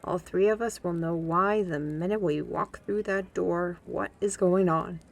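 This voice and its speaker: An actress plays a young woman of a fantasy world. She takes her time, with a wry, guarded and gently teasing way of speaking. It is conversational ASMR roleplay, addressed right to the listener. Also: on the low side, unhurried, vocal fry. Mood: wry, measured. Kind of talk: scripted roleplay audio acting, ASMR.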